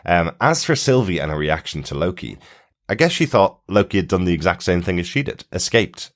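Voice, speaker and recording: A man's voice: deep voice, sing-song, fairly quick. A man hosting a podcast, chatting casually and explaining his take on TV and listener feedback. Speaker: podcast host. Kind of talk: casual TV review chat, reading listener feedback.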